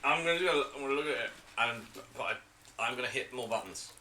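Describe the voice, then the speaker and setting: A man's voice: mid-range voice, fast, pushed and bright. A man playing in a tabletop game, casual and profane, often stammering and laughing. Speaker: player.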